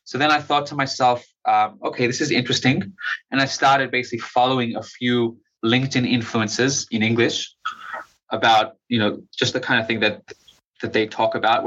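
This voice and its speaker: A young man talks through his answers in a bright, forceful voice, stumbling over restarts and leaning on fillers. His voice comes through a slightly dull, lo-fi recording. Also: mid-range voice, fairly even intonation, brisk. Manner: hesitant, many restarts and fillers. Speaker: interview guest. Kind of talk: conversational interview answer.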